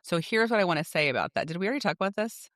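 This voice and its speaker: A woman's voice: on the low side, lively intonation, racing, a bit bright, no echo, studio quality. A woman in her forties co-hosting a podcast in a casual, candid and emphatic chat. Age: 40s. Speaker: podcast co-host.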